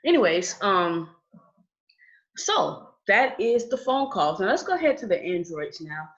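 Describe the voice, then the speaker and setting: A woman walks a group through a lesson in a relaxed, chatty way and keeps checking in with "okay?" Her voice sits at an ordinary middle pitch. The video call audio sounds a little dull and lo-fi. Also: unhurried. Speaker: trainer. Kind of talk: online training session.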